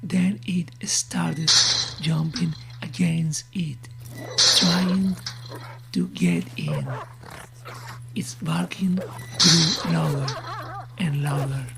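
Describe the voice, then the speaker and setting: A woman narrating a scripted horror story in a hushed, breathy, measured way. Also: deep voice, animated, very noisy.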